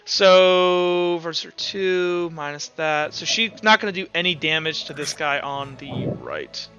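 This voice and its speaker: A man narrating a tabletop roleplaying game as game master in an animated, casual, profane way. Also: a little high, unhurried, highs cut off, faint music behind.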